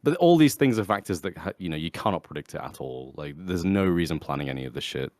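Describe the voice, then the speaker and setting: Deep-voiced young man with lively, swinging intonation. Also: fast talker, dry recording. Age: 20s. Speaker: podcast guest. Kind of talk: casual podcast chat.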